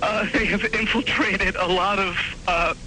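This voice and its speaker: Stammering high-voiced man on a radio call. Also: steady tone, sharp tone, faint music behind, low-quality recording. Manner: stammering, hurried and fearful. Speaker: caller to a late-night radio show. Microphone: telephone line.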